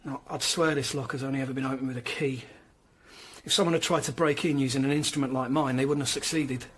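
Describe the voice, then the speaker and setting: An actor playing a brisk, wry, practical Tudor investigator's assistant in a radio drama. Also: male voice, medium pitch, steady tone, husky, close to an everyday voice. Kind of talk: BBC radio drama acting.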